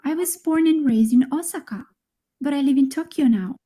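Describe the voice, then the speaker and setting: A young woman speaking her part in a scripted conversation for English learners, clear and friendly. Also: sits high, moderate pace, mellow. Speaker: speaker in a recorded dialogue.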